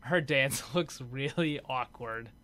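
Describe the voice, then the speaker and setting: A man's voice: medium pitch, takes it slowly, bright, forceful tone. A man giving casual, unscripted commentary as host of a gaming video. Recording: clear background noise.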